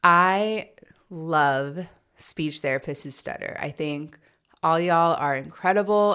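Podcast host, woman who stutters, low voice, slow and candid. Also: fairly bright tone, clear, resonant tone. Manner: stutters, with blocks and repeated sounds; candid and reflective, with many fillers. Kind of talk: casual solo podcast answering listener questions.

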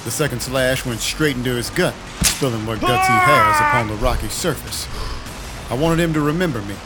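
An actor playing a cynical, violent vampire detective, narrating a noir audio drama with wry menace. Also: male voice, medium pitch, fairly bright tone. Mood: grim, menacing. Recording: music behind the voice. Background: music.

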